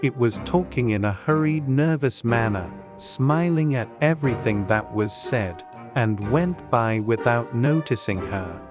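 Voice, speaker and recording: A man's voice: medium pitch, expressive, a little slow. A man reading scripted storybook narration as an audiobook narrator. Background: faint music.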